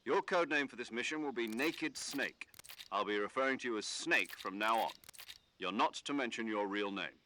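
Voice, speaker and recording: Man acting a bright-voiced, authoritative military commander. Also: mid-range voice, a little hiss or hum, natural voice. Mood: stern, wry. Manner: measured, instructive, authoritative. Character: male military commander briefing an agent, calm and authoritative. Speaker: mission commander giving radio briefing. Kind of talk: scripted video game voice acting.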